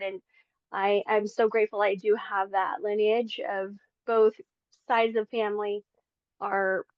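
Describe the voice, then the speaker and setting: A woman's voice: medium pitch, dry recording, lo-fi sound. A woman in her forties from the Philippines, sharing her faith testimony as a podcast guest in earnest, chatty talk. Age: 40s. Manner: earnest, chatty, many fillers. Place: home in Las Vegas.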